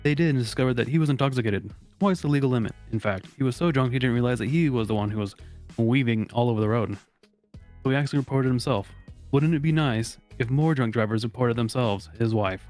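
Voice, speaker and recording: Male advice-show host, mid-pitched, casual and mock-serious, swears freely, music in the background. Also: fast talker, darkish tone. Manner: profane, blunt, mock-serious. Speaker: host of a comedy advice segment. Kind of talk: casual, joking group chat and reading aloud.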